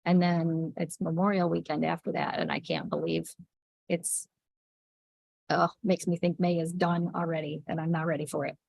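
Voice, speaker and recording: Woman, shop owner on a livestream, with a cold, sniffly and raspy, very gravelly, casual. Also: deep voice, expressive, normal speed, a little mellow, no echo. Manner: chatty, reads out viewer comments by name, many ums. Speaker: yarn shop owner hosting a weekly livestream. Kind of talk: casual chat with viewers.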